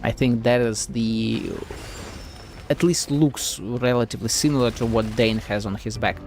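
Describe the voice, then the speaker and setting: A man's voice: mid-range voice, fairly even intonation, moderate pace, darkish tone, soft background music. A man streaming a video game with casual, rambling live commentary, playfully reacting aloud as he plays.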